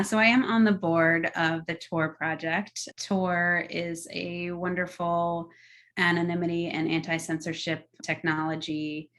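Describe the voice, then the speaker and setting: A woman's voice: sits low, fairly bright tone. A middle-aged woman answering podcast interview questions in an articulate, earnest, enthusiastic way. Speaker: guest, tech policy executive.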